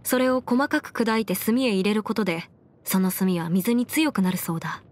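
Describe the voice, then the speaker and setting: A woman's voice: mid-range voice, moderate pace, natural voice. A woman voicing a confident, clever young female legal advisor in an anime game, articulate and slightly boastful, with playful pride. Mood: explaining. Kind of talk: Japanese anime game character voice lines. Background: music.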